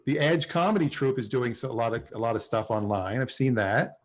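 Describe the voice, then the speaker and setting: A man's voice: medium pitch, expressive, brisk. A middle-aged man chatting casually on a video call, hosting and asking the others questions. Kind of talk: casual chat. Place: indoors on a group video call.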